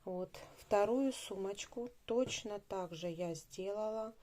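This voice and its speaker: Slow, mellow-voiced woman teaching crochet. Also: mid-range voice. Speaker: crochet tutorial instructor. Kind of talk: step-by-step craft tutorial narration.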